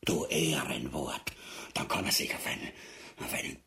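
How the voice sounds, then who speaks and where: A man's voice: a bass voice, unhurried, pushed and bright, heavily acted. An actor with an Austrian accent playing a vain, crude ex-king and captain in a farcical radio play, pompous and bumbling, repeating himself. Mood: dreamy muttering. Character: blustering, vain, crude, easily tempted ex-king and dragoon captain. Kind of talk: farcical radio play acting in broad dialect.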